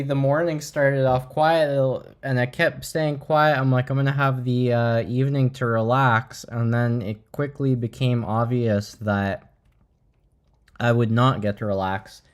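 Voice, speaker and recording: A man hosting a livestream, talking casually and haltingly as he thinks aloud. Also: medium pitch, steady tone. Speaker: livestream host.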